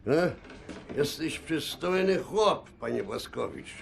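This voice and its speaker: A plain-spoken Polish character in a game. The voice is fairly high but heavily gravelled and slow. Soft music sits underneath. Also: male voice, expressive, heavily acted. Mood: wry.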